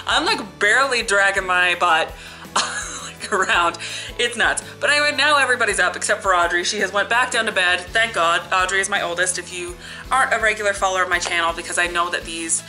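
A woman's voice: medium pitch, fairly quick, bright, forceful tone, soft background music, low-quality recording. A young woman with a cold, chatting casually to camera in her living room in a sniffly, upbeat way, with lots of likes and ums. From Canada. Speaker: beauty and family vlogger. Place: living room in front of a lit Christmas tree, indoors. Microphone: camera close in front.

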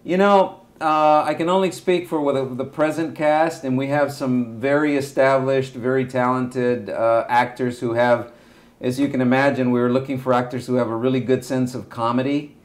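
A man in his forties from Argentina whose first language is Spanish, a director being interviewed, talking in a relaxed, measured way with frequent hesitations. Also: medium pitch. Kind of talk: casual interview talk. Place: small room indoors beside a window.

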